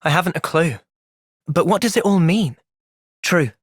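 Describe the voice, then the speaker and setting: A young man playing a thoughtful, earnest young soldier in video game cutscenes, speaking in a gentle, reflective manner. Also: on the high side, lively intonation, normal speed, husky, dry recording, studio quality. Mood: calm, reflective.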